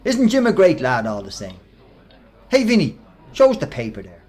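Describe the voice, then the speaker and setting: An actor with a Dublin accent playing a brash, mocking workplace bully in a radio play, talking in slangy, sneering bursts. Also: male voice, fairly high pitch, wildly swooping pitch, moderate pace, a little mellow, a little hiss or hum. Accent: Irish, Dublin.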